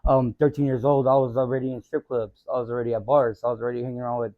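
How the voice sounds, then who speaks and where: A man's voice: medium pitch, flat delivery, racing, no echo, narrow, tinny audio. A man on a podcast, speaking earnestly and often saying "you know what I mean". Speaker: podcast guest. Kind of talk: casual chat.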